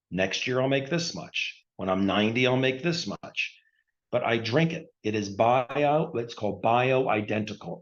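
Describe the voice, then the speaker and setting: A man in his sixties, a retired surgeon, explaining a health product in plain, repetitive terms and clearing his throat often. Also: fairly low pitch. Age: 60s. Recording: dull lo-fi recording.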